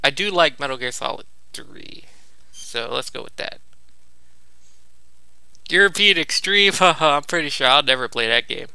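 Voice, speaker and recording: A man's voice: a little high, animated, moderate pace, pauses often, sharp tone, smooth, dry recording. A young man giving casual gameplay commentary, laid-back and thinking aloud as he goes. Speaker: gamer recording a walkthrough.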